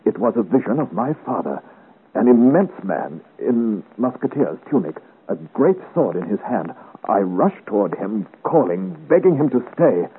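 Deep-voiced, earnest young swordsman. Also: very animated, moderate pace, husky, heavily acted. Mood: impassioned. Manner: earnest, courtly, formal period diction. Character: earnest, gallant young swordsman of great size, seeking his father's name. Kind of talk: 1950s radio serial acting. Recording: muffled, hissy old radio serial recording.

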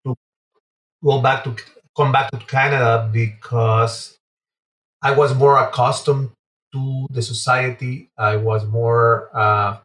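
A man answering interview questions in a halting way, often pausing mid-phrase. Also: medium pitch, fairly even intonation, fairly bright tone. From Guatemala and Venezuela. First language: Spanish. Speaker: interview guest, entrepreneur. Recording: roomy echo, distant from mic.

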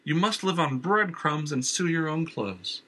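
A man's voice: a little high, animated, measured pace, sharp tone, slightly rough, pitched above the performer's own voice, exaggerated voice. A man voicing a smug, mocking theater owner. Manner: mocking, falsely wounded.

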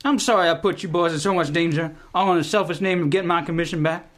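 A man's voice: a little high, quick pace, a bit bright, natural voice. A man playing a blustering, fatherly army general in a comic radio play, commanding and folksy.

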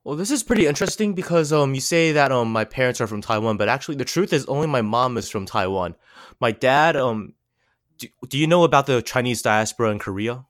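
A man's voice: mid-range voice, brisk, dry recording, clean studio sound. A man in his twenties, raised in the United States, talking as a guest in a casual interview, loose and filled with "like" and "um". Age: 20s. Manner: relaxed, heavy use of "like" and "um".

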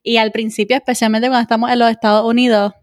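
A woman interviewer with a Puerto Rican accent and a fairly high voice. She talks in a chatty, encouraging way, dropping in English words. The sound is dry, with very little room in it. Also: little pitch movement, fast, dry recording. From Puerto Rico. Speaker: interviewer, host. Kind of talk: casual conversational interview.

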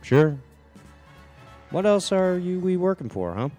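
A man's voice: high voice, sing-song, unhurried, dark tone, faint music behind. A man playing a practical, dismissive husband and father in a radio play, brusque and placating. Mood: breezy.

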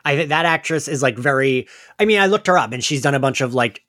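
A man's voice: mid-range voice, animated, fast talker, a bit bright. Chatty man co-hosting a casual recap podcast. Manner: chatty, frequent "like" and trailing asides. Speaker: podcast co-host. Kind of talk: casual chat recapping an audio drama.

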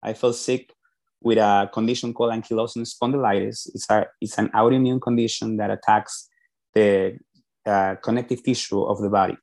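A man's voice: on the low side, steady tone, moderate pace, darkish tone. A man from Peru with a Peruvian Spanish accent, a guest on a podcast, telling his story earnestly and often restarting his sentences. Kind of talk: podcast interview.

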